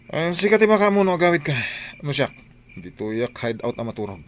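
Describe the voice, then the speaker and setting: An actor playing a stubborn, short-tempered husband turned crook in an Ilocano radio drama, blunt and agitated. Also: male voice, fairly high pitch, big pitch swings, a little fast, a little hiss or hum. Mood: scheming.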